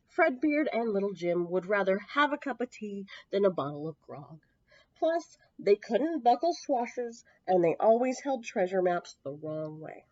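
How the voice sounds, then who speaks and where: Woman, children's storyteller, medium pitch, expressive emphasis, stretched key words, dull close recording. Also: no echo. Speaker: children's storyteller at a public library. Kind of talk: expressive picture-book read-aloud for young children. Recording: dull lo-fi, close dry sound.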